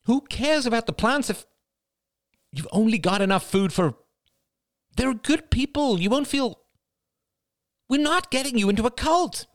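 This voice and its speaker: Man voicing an anxious, high-pitched exasperated mother. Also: animated, a little slow, long pauses, no room sound, studio quality. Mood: urgent, pleading. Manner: urgent, pleading, exasperated. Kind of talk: audiobook character voice.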